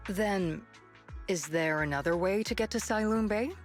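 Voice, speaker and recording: A woman's voice: mid-range voice, moderate pace, faint music behind, lightly acted. A woman voicing a self-possessed, inquisitive video game character with a poised, questioning manner. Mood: inquiring.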